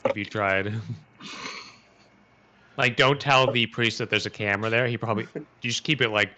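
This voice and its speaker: A young man co-hosting a podcast with a monotone delivery in casual chat. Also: mid-range voice, moderate pace, background noise. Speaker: podcast co-host.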